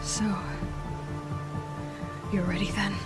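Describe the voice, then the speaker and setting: A woman's voice: mid-range voice, flat delivery, takes it slowly, over music, natural voice. An actress playing a stern, vengeful young warrior woman in a video game, terse and controlled as she questions someone. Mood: cold, resolute.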